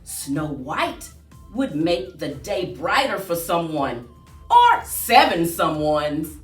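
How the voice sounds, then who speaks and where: A woman reading aloud to children as a storyteller, with an expressive, singsong delivery. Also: lowish voice, slow pace. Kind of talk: read-aloud storytime with rhyming chant. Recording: roomy echo, distant mic, faint music.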